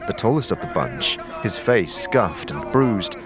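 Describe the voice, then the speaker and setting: A man narrating a fantasy audio series in scripted, measured, descriptive second-person storytelling. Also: lowish voice, sing-song, music in the background.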